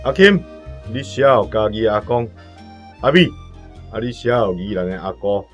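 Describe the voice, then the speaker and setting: A low male voice as a respected village uncle near fifty in a radio drama. He has a Taiwanese accent and instructs the young in an earnest way, with light music behind him. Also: big pitch swings, moderate pace. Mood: instructing.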